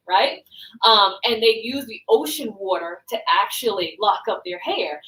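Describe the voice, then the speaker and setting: A woman's voice: fairly high pitch, a bit bright, low-quality recording. A woman from the Bahamas presenting and demonstrating her hair-care brand's products, explaining step by step and often checking in with "right?".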